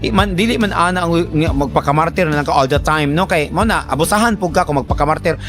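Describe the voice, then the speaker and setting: A man's voice: a little high, quick pace, music in the background. A man with a Filipino Cebuano accent hosting a radio advice segment, talking in a colloquial, teasing, conversational way to a letter sender. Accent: Filipino, Cebuano Bisaya. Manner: animated, colloquial, teasing, acts out imagined remarks.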